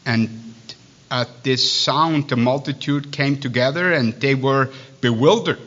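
A man with a Swiss accent preaches in a bright, pressing voice. He reads scripture plainly but keeps wandering into halting asides, restarting his words as he goes. The recording sounds dull and lo-fi. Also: medium pitch. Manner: digressive, halting, with many restarts and fillers. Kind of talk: sermon with asides.

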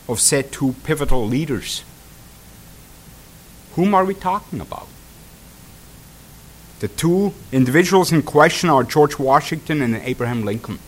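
A traveling pastor with a Swiss accent giving a sermon, talking in halting bursts full of fillers and restarts, then reading prepared text aloud. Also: male voice, on the high side. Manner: hesitant, many fillers and restarts. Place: congregation hall.

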